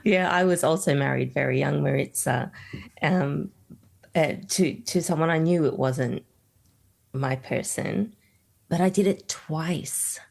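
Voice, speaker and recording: Gravelly low woman host. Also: a little slow. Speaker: podcast co-host. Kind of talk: casual chat.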